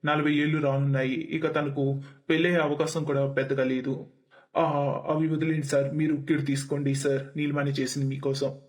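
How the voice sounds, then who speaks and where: A man's voice: a little high, little pitch movement, fairly quick, darkish tone, lightly acted. A man voicing an eager young newlywed employee in a story, polite and deferential to his boss. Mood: apologetic.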